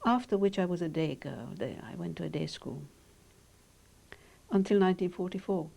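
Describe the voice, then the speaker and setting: An older woman whose first language is Hungarian, speaking in measured, reflective sentences with pauses as she is interviewed about her childhood. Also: lowish voice, vocal fry, warm tone. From Romania. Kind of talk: oral history interview.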